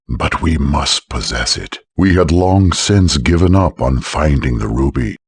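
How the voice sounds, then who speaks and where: A man's voice: very deep voice, a little mellow, husky, no room sound, heavily acted. A man voicing a grave, wise old lord in a fantasy game, speaking in a solemn, formal manner.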